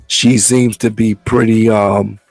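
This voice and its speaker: A man hosting a casual talk show, hoarse from the flu, speaking in a measured, rambling way. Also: lowish voice, level tone, faint music behind. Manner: measured, loose, repeats words as he talks. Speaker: show host.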